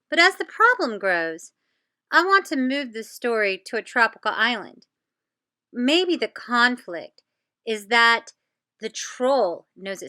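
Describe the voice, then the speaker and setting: A woman teacher presenting a step-by-step lesson for children, with deliberate, encouraging delivery. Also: a little high, pushed and bright. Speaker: teacher presenting an educational show.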